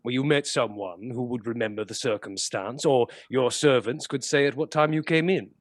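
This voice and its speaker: An actor playing a courteous police official questioning a suspect in a radio play, formal and measured. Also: male voice, medium pitch, dry recording, clean studio sound, close to an everyday voice. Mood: probing.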